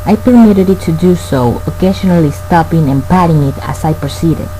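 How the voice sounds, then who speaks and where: An actor playing a tormented man narrating a gothic horror tale, formal, grave and measured in a radio play. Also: fairly high pitch, mellow, natural voice, low-quality recording. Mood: dread, confessional. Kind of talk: scripted dramatic narration. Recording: faint background noise and hum.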